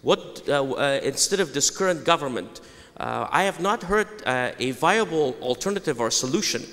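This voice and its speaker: A man, an ambassador, standing in a studio audience to ask a formal, halting question into a handheld mic, with many fillers. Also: on the high side, lively intonation, fairly bright tone. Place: large televised debate hall with audience. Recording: long hall reverb.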